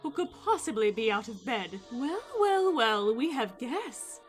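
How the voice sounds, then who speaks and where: Slow, gloating cartoon witch villain. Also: a little high, sing-song. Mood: sly menace. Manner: theatrical, drawn-out, cackling menace. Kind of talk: cartoon fan dub acting. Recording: music behind the voice.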